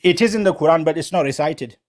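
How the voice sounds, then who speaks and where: Man, rapid talker, fairly high voice, stumbling repeats, mocking tone. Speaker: debate opponent. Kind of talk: heated street debate.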